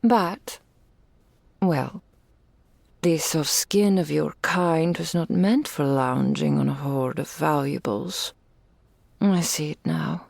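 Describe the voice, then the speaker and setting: A woman voicing a possessive, adoring dragon in human form for an intimate ASMR roleplay, with regal, old-fashioned phrasing and a breathy, often whispered delivery. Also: low-pitched, a little mellow, no echo, full character voice. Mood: doting, musing. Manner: slow, formal archaic phrasing, regal and doting. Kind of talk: intimate ASMR roleplay, scripted character acting. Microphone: close studio mic, dry.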